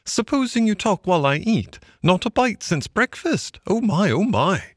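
Excitable, high squeaky little mole. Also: male voice, normal speed, slightly rough, no echo, studio quality, exaggerated voice. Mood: eager. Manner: exclamatory, effusive. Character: excitable, eager, grumbling little male mole. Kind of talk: storybook character voice.

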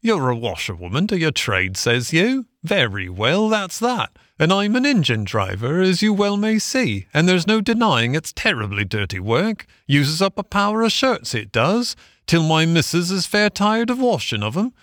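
A man's voice: a little high, sing-song, normal speed, few pauses, fairly bright tone, no room sound, clean studio sound, voice pushed up. A man voicing a burly, good-natured working-class engine driver with a folksy, plain-spoken manner. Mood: kindly, chatty. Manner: folksy, plain-spoken, rustic turns of phrase.

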